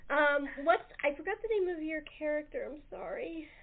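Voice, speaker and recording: A woman's voice: sits high, lively intonation, moderate pace, vocal fry. A woman hosting a casual, chatty cast interview. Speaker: host of a cast interview show. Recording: narrow phone-line sound.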